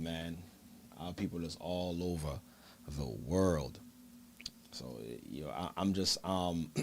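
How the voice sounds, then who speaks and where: A man with a very low voice hosts a church broadcast in a loose, chatty way. He addresses his listeners as brothers and sisters. His tone is easygoing and conversational. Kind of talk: casual livestream talk.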